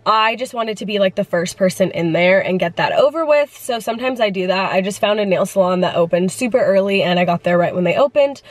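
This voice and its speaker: A woman's voice: mid-range voice, fairly quick, hardly any pauses, no echo. Congested chatty woman vlogging. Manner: chatty, many "like" fillers. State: congested from the flu. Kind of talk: casual vlog chat.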